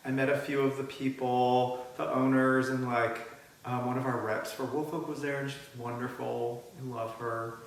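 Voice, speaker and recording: A man's voice: medium pitch, little pitch movement, normal speed. Teasing young man, echoey room. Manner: teasing, playful banter. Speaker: podcast co-host. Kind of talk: casual vlog chat.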